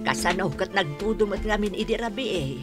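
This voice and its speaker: A Filipino woman playing a gossipy, disapproving older village mother in a radio drama, with a husky voice. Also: medium pitch, a little fast, over loud music, close to an everyday voice. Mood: alarmed, indignant.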